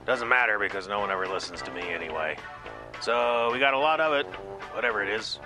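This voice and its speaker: A grumbling small-town bait shop owner, played by a man, speaks in a folksy, resigned deadpan. His voice sits on the low side, over prominent music in a comic radio spot. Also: fast, natural voice, low-quality recording. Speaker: advertiser in a comic radio commercial. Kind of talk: comedic radio commercial acting.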